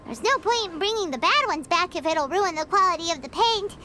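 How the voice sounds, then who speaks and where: A woman voicing a tiny, excitable, childlike floating fairy girl with a squeaky, exclamatory delivery in a video game. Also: a little fast. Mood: chatty, eager. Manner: excitable, exclamatory, refers to self by name. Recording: loud music behind the voice.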